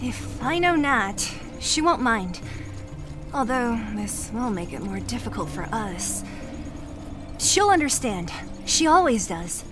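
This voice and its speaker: A woman's voice: on the high side, very animated, slow, over music. An actress voicing a tough, blunt young woman fighter in a video game, direct and casual but loyal. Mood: relenting.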